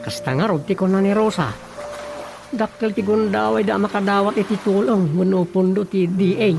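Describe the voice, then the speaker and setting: High, creaky Ilocano village official. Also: male voice, normal speed, darkish tone. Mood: earnest. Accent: Ilocano Filipino. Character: helpful village official explaining government aid. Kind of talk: Ilocano radio drama acting. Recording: music bed behind the voice.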